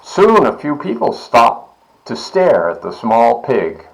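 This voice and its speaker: Slow, very expressive man reading a storybook. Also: mid-range voice, creaky, poor audio. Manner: expressive, deliberate. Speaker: volunteer story reader, library board member. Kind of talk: children's storybook read-aloud.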